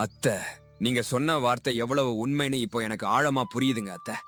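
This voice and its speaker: A man's voice: medium pitch, fairly even intonation, brisk, fairly bright tone, soft background music, close to an everyday voice. A man playing an honest, humble, forgiving orphan grown up, in a dramatised moral story. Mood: earnest.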